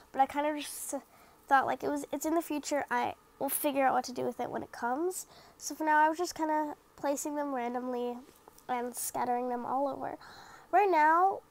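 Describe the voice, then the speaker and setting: High-voiced stuffy young woman rambling. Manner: rambling, self-critical, lots of "like" and fillers. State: slightly stuffy from the sniffles. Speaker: craft vlogger. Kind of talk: casual voice-over narration of a craft video.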